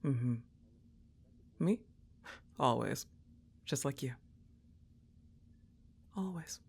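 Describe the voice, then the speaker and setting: A woman playing a laid-back, teasing young goth roommate in an affectionate ASMR roleplay, soft-spoken and often slipping into whispers. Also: a bass voice, animated, extremely slow, gravelly, breathy edge, heavily acted.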